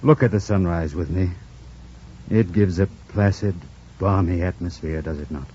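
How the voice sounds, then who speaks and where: Troubled gentleman master: low, commanding, formal, intense. Vintage radio drama, dull noisy sound. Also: moderate pace, breathy edge. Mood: tender, probing. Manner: intense, theatrical, formal diction. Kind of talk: mid-century radio drama acting. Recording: muffled, noisy old radio broadcast.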